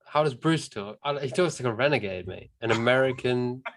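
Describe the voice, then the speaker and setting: A man's voice: mid-range voice, lively intonation, brisk, vocal fry, noisy background. A man, a comedian guest, chatting casually on a webcam, rambling, swearing freely and trailing off with ums. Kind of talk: casual chat.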